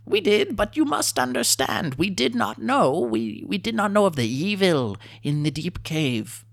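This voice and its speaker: A tiny scaly kobold sorcerer, with a very high, pinched voice and an odd, strained tone. He talks slowly and solemnly in stiff, broken phrases, proud and wary at once, like a creature in a spoken fantasy storybook. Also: sing-song, creaky, dry recording, studio quality, full character voice. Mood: pleading. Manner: halting, grave, formal broken speech. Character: small male kobold sorcerer, proud and fearful.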